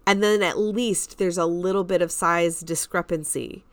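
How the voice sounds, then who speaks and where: A woman's voice: on the low side, steady tone, normal speed, no echo. A woman co-hosting a podcast, chatting casually and giving emphatic opinions in pointed statements. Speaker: podcast co-host.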